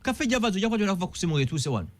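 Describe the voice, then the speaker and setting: A Ugandan man on a radio talk show, talking politics in an animated way and firing one rhetorical question after another. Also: sits high, racing. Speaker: political commentator.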